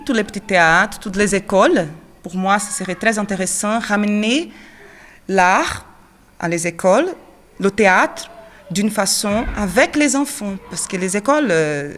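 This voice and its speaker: Woman, 30s, Brazilian Portuguese accent, non-native French, mid pitch, frequent fillers. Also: a bit bright. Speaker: drama teacher and actress. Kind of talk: interview answers.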